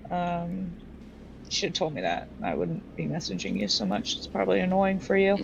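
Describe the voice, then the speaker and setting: Low-voiced woman in casual roleplay. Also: very animated, normal speed, vocal fry, lo-fi sound, background noise. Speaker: tabletop game player. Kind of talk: casual in-character roleplay chat.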